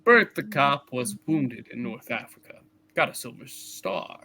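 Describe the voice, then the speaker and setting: A man narrating a scripted play in a hammed-up, deliberate style with dramatic pauses. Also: mid-range voice, sing-song, vocal fry, bright, forceful tone. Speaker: narrator in a live play reading.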